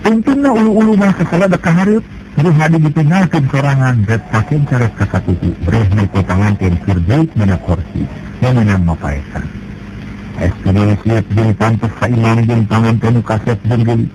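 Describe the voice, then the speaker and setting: A man narrating a traditional folk tale, jumping up in pitch when he voices the characters. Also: normal speed, noisy background. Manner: lively storytelling, jumps in pitch for characters. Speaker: storyteller.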